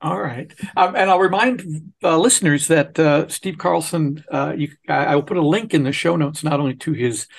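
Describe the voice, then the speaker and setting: Guy podcast host, kinda high voice w/ gravelly fry, chatting and rambling along. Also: normal speed. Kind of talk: casual chat and interview.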